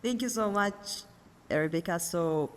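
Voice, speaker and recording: A woman with an Ethiopian accent answers interview questions in a measured way, pausing and using fillers. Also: on the low side. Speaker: guest, energy consultant. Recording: roomy with faint ambient noise.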